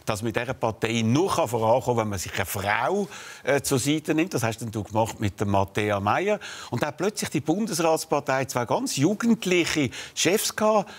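A man's voice: medium pitch, lively intonation. An older man with a Swiss German accent hosting a TV talk show, asking pointed, provocative questions in a studio. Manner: provocative, challenging, quick follow-ups. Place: dark TV studio, seated at table. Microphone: lapel mic.

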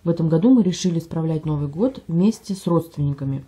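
A woman vlogger with a slightly hoarse voice from a cold, chatting casually at home and describing items she holds up for a phone camera. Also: low voice, lively intonation, fast, dark tone. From Russia. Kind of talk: casual show-and-tell vlog. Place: at home, indoors.

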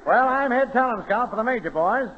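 An actor playing a brash, impatient city talent scout, brisk and wisecracking, in a 1930s radio comedy. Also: male voice, very high-pitched, expressive. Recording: muffled, noisy 1930s radio, hall reverb.

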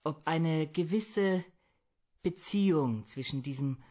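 An actor playing a fussy, effete foreign man in a German radio play, excessively polite, formal and halting. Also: a little high, mellow. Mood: unctuous, formal.

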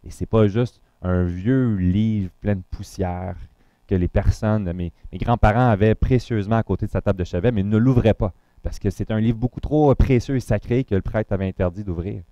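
A man's voice: lowish voice, normal speed, warm tone, no room sound, highs cut off. A man from Montreal with a Quebec French accent, introducing himself to a congregation as a guest pastor, talking loosely with many fillers and restarts.

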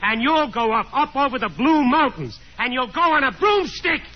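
A man's voice: very high-pitched, very animated, normal speed, heavily acted. An actor playing a restless, sardonic young man, a grown son, in a 1950s radio drama. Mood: bitter mockery. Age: young adult. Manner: sarcastic, dramatic. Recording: dull 1950s radio recording.

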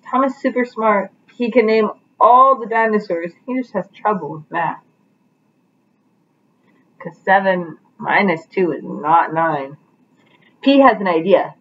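A woman's voice: mid-range voice, dull audio. A young adult woman reading a picture book aloud to children as a teacher, in a living room at home.

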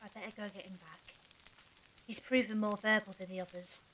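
A woman's voice: mid-range voice, steady tone, a little fast, very noisy, natural voice. A woman playing a practical, caring and level-headed character in an audio drama, firm with the others.

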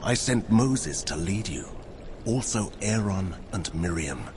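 An actor playing the Lord God as a mighty, wrathful deity in a dramatized audio Bible, solemn and commanding. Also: male voice, sits low, animated, slow pace, background noise. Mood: grave, accusing. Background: dramatic music.

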